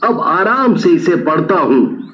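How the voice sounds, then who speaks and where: A man's voice: fairly high pitch, big pitch swings, slow pace, fairly bright tone, highs cut off, heavily acted. A man playing a jumpy, curious young college student who stammers when scared, in an acted horror story.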